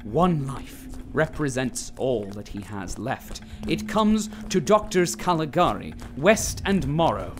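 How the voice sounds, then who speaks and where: Solemn propaganda-style narrator, mid pitch, animated intonation, dramatic scripted delivery. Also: male voice, takes it slowly, few pauses, over music.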